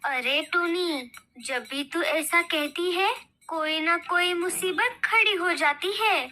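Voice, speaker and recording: A woman's voice: very high voice, slow pace, sharp tone, heavily acted. A woman voicing a sceptical bird aunt in a children's cartoon, with a scolding manner. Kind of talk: Hindi children's cartoon dialogue.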